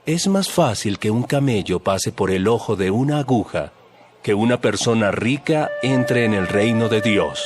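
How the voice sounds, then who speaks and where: A male actor playing a calm, authoritative religious teacher in a dramatized audio Bible, speaking in solemn, measured, emphatic phrases. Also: fairly low pitch. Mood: earnest.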